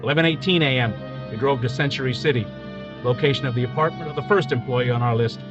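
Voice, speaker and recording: An actor playing a male police detective who narrates in a clipped, flat, matter-of-fact way in a parody police radio drama. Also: mid-range voice, fast talker, lo-fi sound, music in the background, close to an everyday voice.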